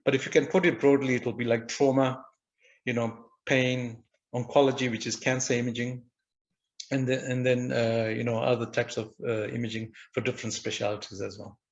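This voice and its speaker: An older man from Zimbabwe talks on a webinar panel with a gravelly, creaky edge, keeping his pitch flat and even. He stalls and repeats words as he goes, and the recording sounds dull and lo-fi. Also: normal speed. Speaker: diagnostic radiologist. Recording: dull lo-fi audio.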